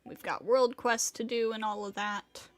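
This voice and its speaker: A woman's voice: on the high side, fairly even intonation, measured pace, faint music behind. A woman livestreaming a game with casual reaction commentary.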